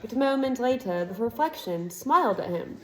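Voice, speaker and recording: A woman reading a chapter book aloud to a child, with expressive narration and relaxed chatty asides. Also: a little high, normal speed, low-quality recording. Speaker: storybook reader.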